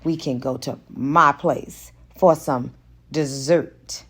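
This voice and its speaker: Lady reader doing sassy confident flirty woman from a romance audiobook, slow pointed lines. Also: low voice, steady tone, pitched below the performer's own voice. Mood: flirtatious.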